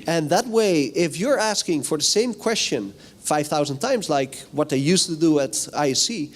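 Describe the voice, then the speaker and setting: A man's voice: on the high side, animated, moderate pace. A man whose first language is Dutch, giving a casual, chatty technical talk at a conference and putting questions to the audience. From Netherlands. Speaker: conference speaker. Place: hotel conference room.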